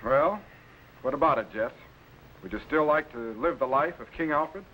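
An actor playing an authoritative space captain and mentor, formal and didactic, in 1950s children's television style. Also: male voice, medium pitch, normal speed, sharp tone, natural voice, poor audio. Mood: earnest, admiring. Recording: vintage TV film soundtrack, faint noise.

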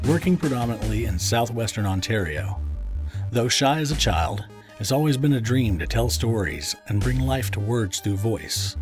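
A man hosting a podcast, reading a scripted introduction. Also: lowish voice. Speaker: podcast host. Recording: acoustic guitar music behind. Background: plucked guitar music.

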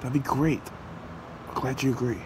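A man's voice: mid-range voice, normal speed, voice pushed up, natural voice. A man playing an earnest, devoted young hero boyfriend in an amateur ASMR roleplay. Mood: tender. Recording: steady hiss and hum behind voice.

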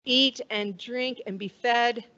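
A middle-aged woman pastor leading communion in a church sanctuary, speaking in a measured, solemn liturgical manner. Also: on the high side, steady tone, highs cut off. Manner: measured, warm liturgical delivery with slow solemn phrases. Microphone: stand mic at a lectern, far camera.

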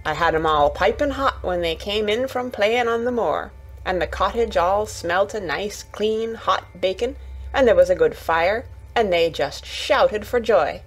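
A woman's voice: medium pitch, lively intonation, unhurried, breathy edge, low-quality recording. A woman voicing a cheerful young Yorkshire housemaid in a storybook, chatty and eager, with homely dialect and exclamations. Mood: fond, happy.

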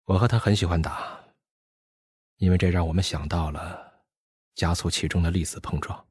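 An actor playing a brooding, scornful physicist in mourning, with a sighing, world-weary manner, in an audio drama. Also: male voice, low-pitched, steady tone, normal speed, darkish tone, breathy edge, dry recording, studio quality. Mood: brisk.

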